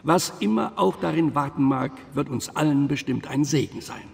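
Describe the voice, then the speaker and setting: A man playing a grave, assured gentleman who leads a secret order in a video game, formal, deliberate and authoritative. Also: a little high, expressive, creaky. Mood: intrigued. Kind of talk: dubbed video game cutscene dialogue. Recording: long reverberant hall.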